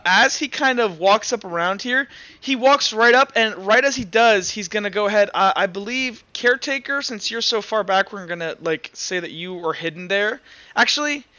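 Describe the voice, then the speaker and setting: A man running a tabletop game as game master, narrating casually with halting, informal, sweary improvisation. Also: high voice, animated, pushed and bright, lo-fi sound.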